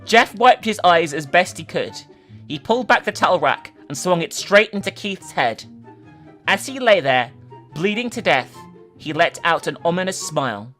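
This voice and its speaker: A woman's voice: on the low side, animated, normal speed, a bit bright, soft background music. A woman giving a theatrical, emphatic dramatic reading of a story, as a host who also comments casually. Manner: theatrical, emphatic, sweary in asides.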